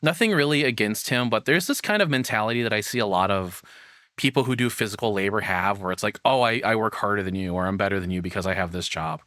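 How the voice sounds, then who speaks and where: A man's voice: lowish voice, quick pace, pushed and bright, no room sound, clean studio sound. A man giving casual reaction commentary as a content creator, rambling and frank, with casual swearing.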